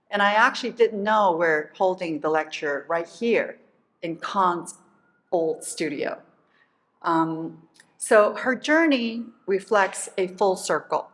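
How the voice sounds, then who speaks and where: A woman's voice: mid-range voice, fairly bright tone, low-quality recording. A woman hosting a lecture who reads a formal prepared introduction and slips into fillers when she goes off script. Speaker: faculty host introducing a speaker. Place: lecture hall.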